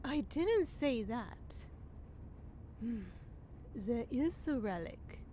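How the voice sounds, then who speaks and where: A woman's voice: a little high, expressive, drawn-out words, dark tone, a little hiss or hum. A woman playing a prim, knowing forest fairy, theatrical and disapproving, in a fantasy comedy audio drama.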